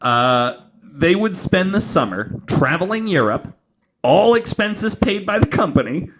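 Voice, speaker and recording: A man's voice: high voice, very animated, a little slow, noisy background. Casual joking man telling a story. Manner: informal, swearing, joking. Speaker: podcast host telling a true crime story.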